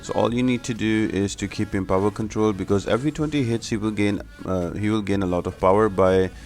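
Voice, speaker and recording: Low-pitched man narrating a game guide. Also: fairly quick, a little mellow, soft background music. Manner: explanatory, step-by-step instructing. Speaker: video game guide host. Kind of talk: gameplay tutorial commentary.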